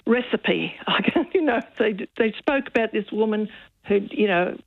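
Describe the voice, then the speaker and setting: A woman's voice: mid-range voice, animated, unhurried, creaky, dry recording. An older woman calling in to a radio show, chatty and hesitant. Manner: chatty, hesitant, many "you know"s. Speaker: caller. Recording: tinny phone line.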